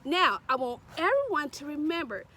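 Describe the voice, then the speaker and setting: A woman's voice: high-pitched, very animated, normal speed, pushed and bright, slight background noise. A woman reading a children's storybook aloud in a sing-song, encouraging way, with emphatic repetition and questions to young listeners. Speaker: read-aloud storyteller, librarian.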